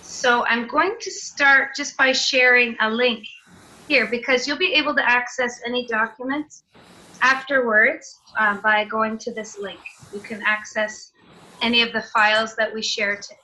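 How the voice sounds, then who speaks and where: Bright-voiced woman leading an online seminar. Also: on the high side, steady tone, unhurried, rough recording. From Canada. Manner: friendly, explanatory, with filler sounds. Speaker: teacher trainer. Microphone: video call.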